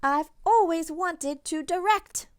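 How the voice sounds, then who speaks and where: A woman's voice: very high-pitched, takes it slowly, darkish tone, voice pushed up. A woman voicing a dramatic, bossy young girl who loves the stage, in a theatrical, declaring way for a picture book. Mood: grand, sing-song. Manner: theatrical, declaring, sing-song.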